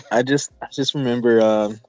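A man's voice: medium pitch, dry recording. A man co-hosting a casual film review, rambling and repeating himself like an awed, informal fan. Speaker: movie review co-host. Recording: dull lo-fi sound.

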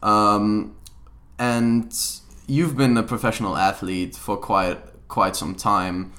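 A man with a bright, forward voice and a fairly low pitch hosts a relaxed interview. He chats easily, fills pauses with "um," and drops in quick "yeah"s while his guest talks. His questions ramble a little before they land. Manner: chatty, many "um"s and "yeah"s. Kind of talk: casual interview conversation.

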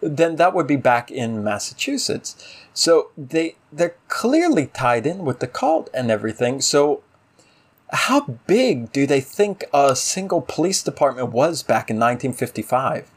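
A man's voice: medium pitch, big pitch swings, unhurried, slightly rough. A man co-hosting a casual TV review talk, ranting emphatically with exasperation and rhetorical questions. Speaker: podcast co-host reviewing a TV series. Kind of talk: casual opinionated episode discussion.

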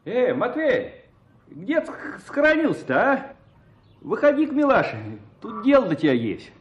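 A man's voice: very high-pitched, huge pitch swings, moderate pace, exaggerated voice. An actor playing a talkative old peasant man in a radio play, insistent and folksy, with speech. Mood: hearty, teasing. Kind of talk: Russian radio play acting. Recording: dull, noisy old radio play recording.

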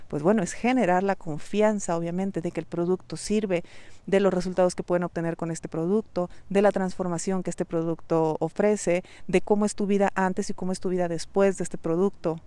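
A woman's voice: lowish voice, steady tone, fairly quick, warm tone, smooth, no echo. A Mexican woman hosting her own podcast, talking straight to the listener in a direct, coaching way and repeating key points for emphasis. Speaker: podcast host. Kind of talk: solo podcast monologue on online business.

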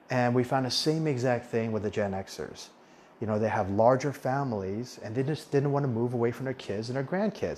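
A man's voice: mid-range voice, darkish tone, a little hiss or hum. A man in his forties hosting a podcast, talking casually in a monologue with frequent restarts and self-corrections. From United States. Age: 40s. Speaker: podcast host on living abroad.

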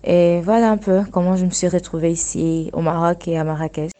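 Young Portuguese-speaking woman, earnest and halting. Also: fairly low pitch, fairly even intonation, dark tone. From Angola. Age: young adult. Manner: earnest, searching for words with restarts. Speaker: guest, dressmaker running her own workshop. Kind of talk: radio interview testimony.

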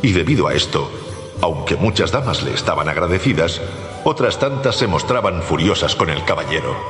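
A man narrating a storybook tale for radio in an expressive, theatrical way. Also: sits low, brisk. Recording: echoey long reverb, music behind.

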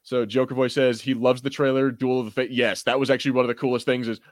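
A man's voice: mid-range voice, flat delivery, fast, no echo. Casual male podcast host, dry close sound. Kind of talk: casual chat, fan discussion.

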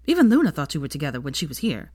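A woman's voice: low-pitched, huge pitch swings, very fast, warm tone, no room sound, clean studio sound, exaggerated voice. A woman voicing a flustered, awkward young man who is out of breath, in a story reading. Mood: breathless relief.

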